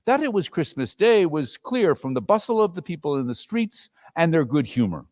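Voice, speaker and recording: Male narrator for dramatic storybook reading: very animated intonation, higher-than-average pitch. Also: normal speed, big-room sound.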